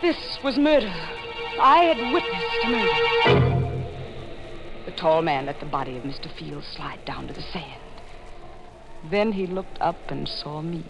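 A woman's voice: low voice, very animated, a little slow, gravelly, pauses often, heavily acted. An actress playing an intense, thrill-hungry lady novelist, narrating dramatically in 1940s radio suspense style. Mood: feverish elation. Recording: old 1940s radio broadcast, music behind.

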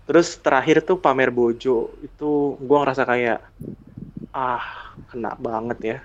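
A man's voice: mid-range voice, steady tone, measured pace, slight background noise. Slightly muffled Jakarta man chatting casually. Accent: Jakarta Indonesian. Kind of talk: casual podcast chat.

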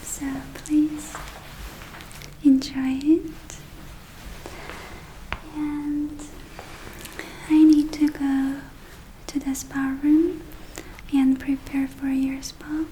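A woman playing a gentle, caring witch in a whispered ASMR roleplay, speaking softly and soothingly with hesitant phrasing. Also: high-pitched, little pitch movement, drawn-out words, pauses often, a little hiss or hum, low-quality recording. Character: gentle caring witch running a magic spa. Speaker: ASMR performer.

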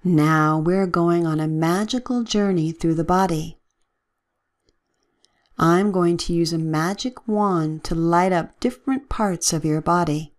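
A woman leading a guided relaxation with gentle, soothing, measured instructions and long pauses. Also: fairly low pitch.

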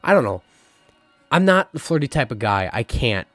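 A young man talks through his podcast in a loose, candid ramble, his voice still slightly hoarse from being lost. He sits at a middling pitch. Soft jazz plays faintly behind him. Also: big pitch swings, normal speed, no echo. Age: young adult. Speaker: podcast host. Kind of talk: casual solo podcast talk. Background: faint jazz music.

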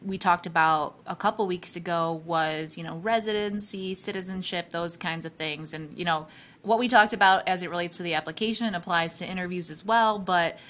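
A woman's voice: on the low side, moderate pace, a bit bright. A woman from the Buffalo area of New York who hosts a legal podcast and is a lawyer herself. She talks conversationally and agrees readily with her guest, with many "you know" fillers. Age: adult, past law school. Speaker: podcast host, employment lawyer.

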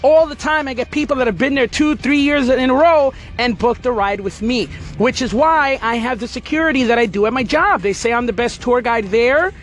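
A man hosting a podcast, talking casually and emphatically in an energetic, motivational way. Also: very high voice, a little fast, few pauses. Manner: emphatic, energetic, pep-talk delivery. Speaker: podcast host.

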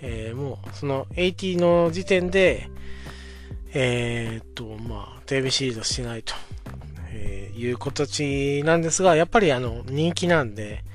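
40s guy rambling politely through an old story, lots of uh's, faint music underneath. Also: mid-range voice. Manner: polite, unhurried, many filler sounds. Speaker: podcast host. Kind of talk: casual storytelling monologue.

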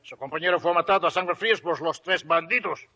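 An actor playing an older village storekeeper in a 1940s radio drama. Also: male voice, on the high side, fast talker, sharp tone, lo-fi sound. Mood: reporting bad news. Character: older Mexican village storekeeper, a father, speaking Spanish. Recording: reverberant old radio recording.

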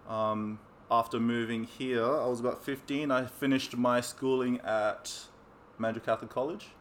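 A man's voice: mid-range voice, moderate pace, slight background noise. A man, chatting easily as an interview guest and coach, with frequent ums. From New Zealand. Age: 20s. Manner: easygoing, many ums, relaxed laughs. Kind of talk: casual interview chat.

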